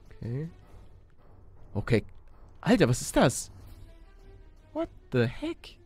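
A man doing excitable let's play commentary, exclamatory and slangy, talking to viewers and himself. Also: medium pitch, slow pace, soft background music. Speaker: gaming YouTuber and let's player.

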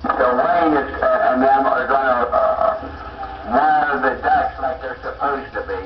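A man chatting casually over a CB radio, explaining things in a halting, rambling way. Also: medium pitch. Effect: CB radio transmission through speaker. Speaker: CB radio operator talking over the air. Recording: muffled CB speaker, echoey, distant, noisy.

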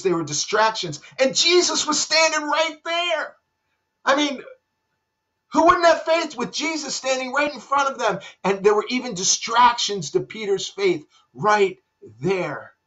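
A middle-aged man preaching a sermon at his desk at home, his voice pitched high for a man. He speaks earnestly and sinks into hushed low tones to stress a point. The webcam sound is dull and lo-fi. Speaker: pastor. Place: home room with bookshelves.

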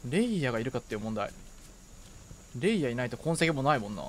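A man casually chatting and reacting aloud as he plays a video game with a friend, excitable in manner. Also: mid-range voice, fast, slight background noise. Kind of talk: casual co-op gaming chat. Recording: game music behind the voice. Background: video game music.

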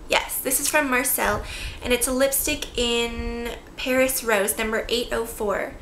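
A woman's voice: on the high side, steady tone, unhurried, fairly bright tone. A woman in her twenties chatting casually to camera as a beauty vlogger, often breaking off into little asides. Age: 20s. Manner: chatty, self-interrupting asides. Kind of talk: casual chat to camera showing a product haul. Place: small bare-walled room indoors. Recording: echoey room with faint background noise.

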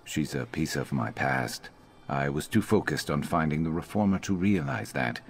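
A stoic reptilian warrior from a video game, with an extremely deep voice. He is loyal, measured and earnest, over a faint layer of background noise. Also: lively intonation. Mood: earnest, reflective.

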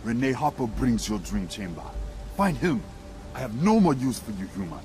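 Man as stern, proud alien warrior, swooping pitch. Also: very animated, normal speed, darkish tone, soft background music, close to an everyday voice. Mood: stern, dismissive. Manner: stern, terse, formal pronouncements. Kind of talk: video game cutscene acting.

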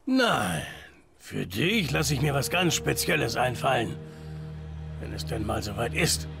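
A man playing a cynical, menacing enforcer in a video game, talking in a gruff, curt, sardonic way. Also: mid-range voice, big pitch swings, unhurried, exaggerated voice. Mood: cynical, threatening. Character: cynical, menacing male debt-collecting enforcer. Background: music.

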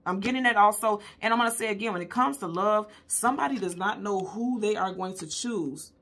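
A woman's voice: medium pitch, a little fast, a bit bright. A woman hosting a casual, intuitive tarot reading, talking to viewers in a conversational, emphatic way and often repeating her points. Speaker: tarot card reader and host.

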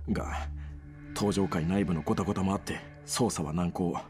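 A man's voice: low-pitched, steady tone, normal speed, soft background music, lightly acted. An actor playing a bitter, wounded young male gang leader in a Japanese game drama, brooding and intense. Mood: grim, sorrowful. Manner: intense, brooding, breaking into anguished shouting. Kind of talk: Japanese video game cutscene acting.

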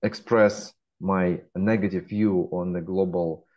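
Deep-voiced Russian man, dull call audio. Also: fairly even intonation. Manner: measured, frequent hesitations. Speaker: guest, fintech founder. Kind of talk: interview answers. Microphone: laptop webcam.

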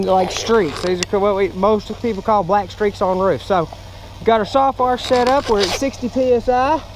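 A middle-aged American man explaining his work in a casual, plainspoken way as he demonstrates it to camera. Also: sits high. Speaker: roof cleaning contractor. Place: outdoors on a ladder at a roofline. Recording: outdoor action camera, wind and machinery noise.

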